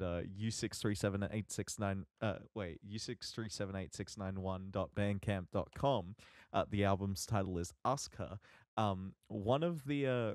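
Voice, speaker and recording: A man co-hosting a podcast, chatting casually and haltingly as he thinks aloud with lots of ums. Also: on the low side, darkish tone, no room sound, clean studio sound. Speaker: podcast co-host.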